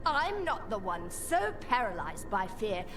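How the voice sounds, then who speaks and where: A woman's voice: mid-range voice, very animated, measured pace, music in the background. A woman voicing a scornful, grandiose undead sorceress villain in a game, mocking and theatrical.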